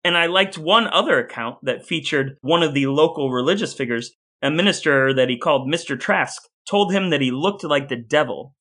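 A man's voice: a little high, animated, normal speed, hardly any pauses, sharp tone. A man co-hosting a podcast, telling history informally and reading out quoted accounts. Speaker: podcast co-host.

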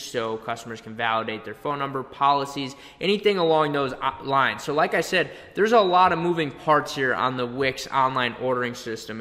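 Tutorial presenter: young male, mid pitch, crisp bright edge, conversational and explanatory, long room reverb. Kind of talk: conversational screen-share tutorial. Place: indoor room, webcam beside screen capture.

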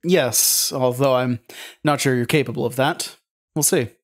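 An actor playing a cool, probing interrogator in an audio drama, speaking in a dry, deliberate, mocking way. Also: male voice, mid-range voice, close to an everyday voice. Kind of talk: audio drama acting, naturalistic.

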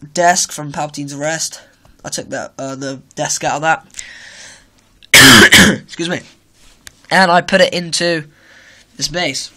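Bright-voiced teen boy with a sore throat. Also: fairly high pitch. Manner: rambling, with many fillers and self-corrections. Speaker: hobby video maker. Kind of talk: casual walkthrough of a model build. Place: indoor room at home, filming with a handheld camera.

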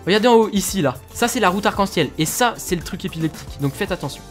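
A man's voice: sits high, lively intonation, quick pace, soft background music. A man from France chatting casually and laughing as he plays a video game. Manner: chatty, laughs often, fillers. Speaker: gaming youtuber. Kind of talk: casual gaming commentary.

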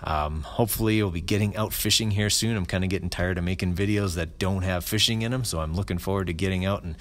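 A middle-aged man talks through his fishing gear in a very low, deep voice. He speaks in a relaxed, casual way, often pausing with ums and restarting his sentences. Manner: relaxed, many fillers and restarts. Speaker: fly fishing channel host. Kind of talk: casual gear explainer voice-over.

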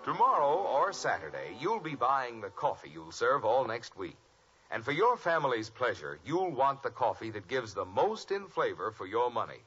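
An American man announcing a 1950s radio commercial in a sales-pitch style. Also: wildly swooping pitch, normal speed, a bit bright, faint music behind. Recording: muffled old radio recording.

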